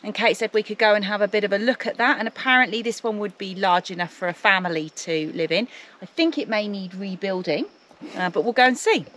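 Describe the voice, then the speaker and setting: A woman's voice: mid-range voice, brisk, a bit bright. A middle-aged woman chatting casually and enthusiastically as she shows viewers around on a walk-through tour. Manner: enthusiastic, easily impressed. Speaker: vlogger showing viewers around. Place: walking outdoors and through open bush shacks. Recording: noisy on-the-move camera audio.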